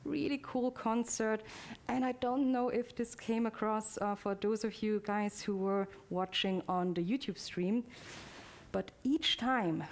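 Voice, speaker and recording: A woman's voice: on the high side, a little slow. A woman giving an informal live presentation, conversational and a little hesitant, speaking directly to her audience. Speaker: presenter of a demo session. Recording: clearly noisy live event recording.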